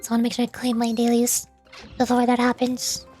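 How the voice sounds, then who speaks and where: A woman's voice: a little high, completely flat delivery, fairly quick, a little mellow, faint music behind. A woman livestreaming a video game, chatting in a playful, cutesy way and dropping in little sound words. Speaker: VTuber game streamer. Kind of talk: livestream gameplay chat with voiced-aloud dialogue.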